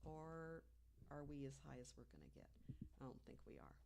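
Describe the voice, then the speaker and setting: A woman with a low-pitched voice talks as a panelist on a podcast. She lays out her material like a storyteller, deliberately and conversationally, pausing for emphasis and posing rhetorical questions. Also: very animated, creaky, darkish tone, slight background noise. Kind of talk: conversational storytelling about mysteries.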